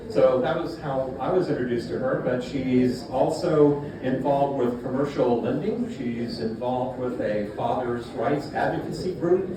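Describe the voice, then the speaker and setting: Man hosting in a boomy hall. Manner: brisk, casual, uses fillers like "so". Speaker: event host. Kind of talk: introducing a speaker. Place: event space. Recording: echoey room from far off, noisy.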